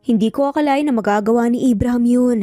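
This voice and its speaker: A bewildered woman lawyer acted by a female narrator in an audio story, sounding pensive and hesitant. The voice is very mellow, with music behind it. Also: a little high, quick pace, no echo, studio quality, lightly acted. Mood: pensive, disbelieving. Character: preoccupied, bewildered young female lawyer and mother.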